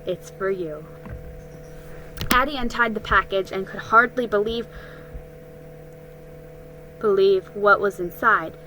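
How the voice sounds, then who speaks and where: Slow-paced woman reading a story aloud. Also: mid-range voice, fairly even intonation, bright, forceful tone, slight background noise, poor audio. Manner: careful, halting reading with stumbles and re-reads. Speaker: storybook reader. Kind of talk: dramatic reading of a children's story.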